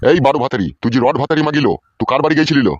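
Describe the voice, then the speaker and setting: A man's voice: a little high, racing, dull audio, very noisy, close to an everyday voice. A man voicing a suspicious, hot-tempered old village husband in a comedy cartoon, ranting coarsely. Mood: accusing.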